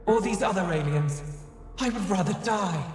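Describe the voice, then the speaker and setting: Casting: actress for proud, wounded female alien AI in a game, measured and formal, heavily reverberant. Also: medium pitch, lively intonation, darkish tone, faint music behind, close to an everyday voice. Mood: weary, bitter. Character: ancient alien AI, weary, bitter, fearful, proud female machine. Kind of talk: video game voice acting. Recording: echoey hall with long reverb.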